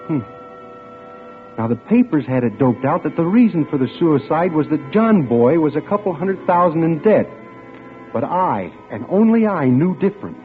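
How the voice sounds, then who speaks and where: An American actor playing a smitten young bank clerk, telling his story in a wry, musing way in old radio suspense style. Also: on the high side. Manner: wry, musing, dramatic pauses. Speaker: actor narrating a radio drama. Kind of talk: golden-age radio suspense acting, first-person narration. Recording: tinny, band-limited old radio recording with music.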